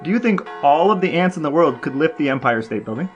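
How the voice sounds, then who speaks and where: A man's voice: on the high side, expressive, fast talker, highs cut off, music in the background. A man co-hosting a casual comedy podcast, asking questions in a droll, mock-serious way. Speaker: podcast co-host and interviewer.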